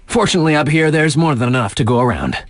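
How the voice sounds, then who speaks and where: A man's voice: fairly high pitch, animated, fast talker, dry recording, studio quality, close to an everyday voice. An actor playing a laid-back, cocky young male radio DJ in a snowboarding video game, introducing songs in breezy slang with wry asides. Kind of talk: casual radio DJ patter, song introductions. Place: dry studio.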